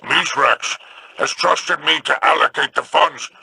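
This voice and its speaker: Slow, gravelly schemer; bright edge, wheedling game villain. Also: male voice, a little high, no echo, heavily acted, poor audio. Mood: sly. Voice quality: gravelly, drawling. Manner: wheedling and theatrical. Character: sly, smug alien crime lord, older male. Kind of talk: video game voice acting.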